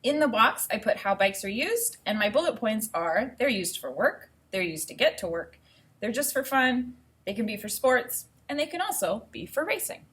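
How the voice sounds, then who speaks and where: A woman's voice: fairly high pitch, big pitch swings, normal speed, a bit bright. Woman teacher leading a read-aloud lesson. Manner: instructive, explains step by step. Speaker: elementary school teacher.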